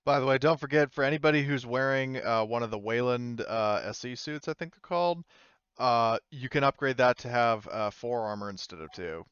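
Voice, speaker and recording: A man casually narrating a tabletop roleplaying game as game master, improvising and thinking aloud in a halting way. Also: mid-range voice, a bit bright, clean tone, no room sound, highs cut off.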